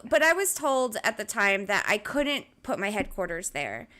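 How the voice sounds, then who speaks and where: Woman cohost, animated voice that bounces around, curious, keeps asking follow-ups. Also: mid-range voice, normal speed. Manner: inquisitive, asks pointed follow-up questions. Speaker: podcast co-host. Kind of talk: casual podcast chat.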